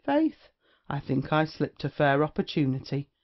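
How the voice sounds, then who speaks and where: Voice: medium pitch, very animated, normal speed. A performer playing a swaggering young rake, dull lo-fi sound. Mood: flippant, boastful. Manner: archaic, swaggering, flippant speech. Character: brash, witty young male rake, swearing casually. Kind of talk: period comedy audio play acting. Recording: dull, lo-fi audio.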